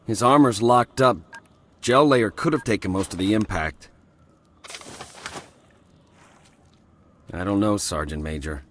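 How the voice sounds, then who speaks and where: A man's voice: fairly low pitch, normal speed, close to an everyday voice. An actor playing a dutiful male marine corporal technician, businesslike and deferential in manner. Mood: worried. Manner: deferential, procedural.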